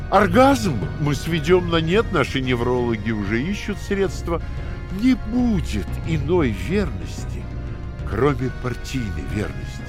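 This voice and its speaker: An actor playing a cruel, domineering party interrogator who lectures theatrically, swinging from hushed to emphatic, in an audio drama. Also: male voice, mid-range voice. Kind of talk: dramatic audio play dialogue.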